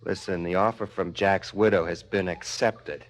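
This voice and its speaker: An actor playing a greedy, domineering crime boss in a 1970s radio drama, blunt and insinuating. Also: male voice, low voice, fairly even intonation, normal speed, lightly acted. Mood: smug, scheming. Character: bullying, greedy, corrupt male crime boss.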